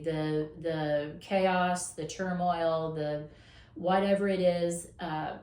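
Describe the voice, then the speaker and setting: A woman tarot reader talks through a casual weekly reading in an encouraging, affirming tone. She stretches out the words she wants to stress. Her voice is going hoarse, and the room gives it a noticeable echo. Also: lowish voice, darkish tone. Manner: encouraging, affirming, drawn-out emphasis. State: losing her voice, coughing.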